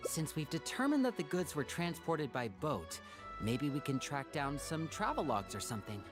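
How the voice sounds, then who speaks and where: A man playing a playful, curious young companion in a video game, with an expressive, inquisitive delivery and music behind. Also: on the high side, normal speed. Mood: curious, speculating.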